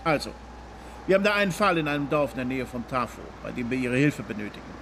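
A menacing, self-important police inspector in a radio drama. He talks down to people in a brusque, blunt way at a middle pitch, with faint urban noise behind him. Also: animated, quick pace, a bit bright. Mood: boastful, brusque. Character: bullying, ambitious male police inspector, smugly menacing.

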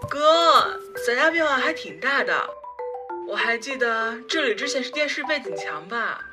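A woman's voice: on the high side, lively intonation, normal speed, close to an everyday voice. A woman voicing a clingy, scheming young man, a whiny and coaxing ex-boyfriend, in a Chinese audio drama. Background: background music.

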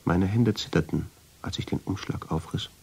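An actor playing a brooding man who narrates a radio drama in the first person, measured and suspenseful. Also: deep voice, creaky, darkish tone. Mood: tense. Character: brooding man recounting a mysterious family discovery.

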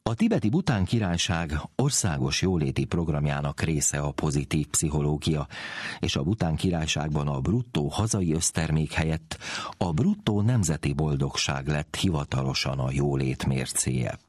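A man's voice: extremely low pitch, a little mellow, slightly rough, no room sound, studio quality. A man reading scripted documentary narration as the narrator of a programme.

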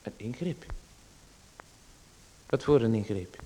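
A man's voice: mid-range voice, animated, slow, dark tone, slightly rough, poor audio. A man playing a nervous writer in a radio drama, hesitant and stammering. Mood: uneasy. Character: nervous male writer, timid and uneasy.

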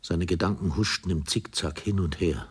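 An actor serving as the grave narrator of a radio play, reading literary prose in a measured manner. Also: male voice, sits low, slightly rough. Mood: grave, tense.

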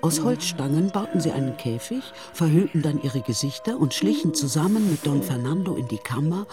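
Calm female radio-drama narrator. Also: deep voice, a little fast, music in the background. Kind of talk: scripted narration, past tense.

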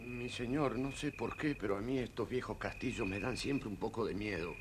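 An actor playing a timid, fretful vizier turned into a stork in a children's audio storybook, exclamatory. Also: male voice, fairly low pitch, normal speed, very noisy, close to an everyday voice. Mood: nervous.

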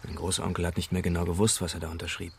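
A man playing a calm, reflective young Englishman giving a witness account in a German radio crime drama. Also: sits low, racing, vocal fry, a little hiss or hum. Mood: reflective, measured. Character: young Englishman, dying uncle's observant great-nephew, calmly forthcoming.

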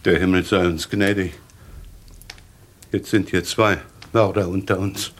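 An actor playing a deferential household servant in a German radio mystery, with a husky voice and hesitant, formal speech. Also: male voice, low voice, flat delivery, unhurried. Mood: shaken. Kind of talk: German radio crime drama acting.

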